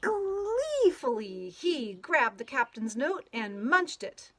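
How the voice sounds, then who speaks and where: A woman reading a children's picture book aloud in an expressive storytelling manner, drawing out words and playing with the sound words. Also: sits high, slow pace. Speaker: storybook read-aloud reader.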